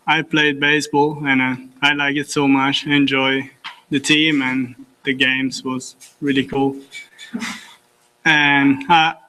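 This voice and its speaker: A teenage Italian exchange student with an Italian accent, giving a halting thank-you speech in simple English at a public meeting. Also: male voice, mid-range voice, bright, forceful tone, poor audio. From Italy. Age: teens. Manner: halting, simple non-native phrasing.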